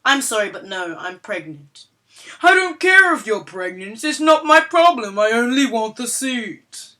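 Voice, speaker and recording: A woman's voice: on the high side, very animated, unhurried, bright, forceful tone, exaggerated voice. A woman voicing a demanding, entitled young mother who shrieks and whines indignantly.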